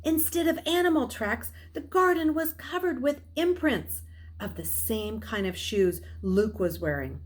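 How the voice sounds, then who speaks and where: A middle-aged woman reading a novel aloud as a storyteller, in expressive narration. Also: on the high side, measured pace. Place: small furnished room at home, indoors.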